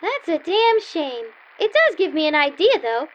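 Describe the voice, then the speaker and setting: A brash young girl with a high, swooping voice, sly and bossy as she wisecracks and teases. It is radio play comedy, with a slightly dull, lo-fi sound. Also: sing-song, normal speed. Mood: brash, scheming. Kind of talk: old-time radio comedy sketch acting.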